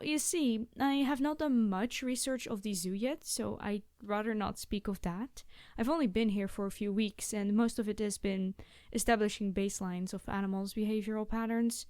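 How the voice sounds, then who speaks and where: A woman's voice: medium pitch, moderate pace, a little mellow, clean tone, no echo, clean studio sound, close to an everyday voice. A woman playing a cute, friendly young biologist in an ASMR roleplay, chatting eagerly and a little hesitantly to the listener about animals. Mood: earnest, enthusiastic. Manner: gushing, hesitant, chatty explaining.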